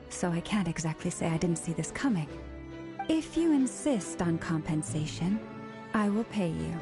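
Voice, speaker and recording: An actress playing a gentle, sincere young priestess in a video game, speaking calmly and earnestly. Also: female voice, mid-range voice, lightly acted. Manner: calm, earnest. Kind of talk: video game dialogue acting.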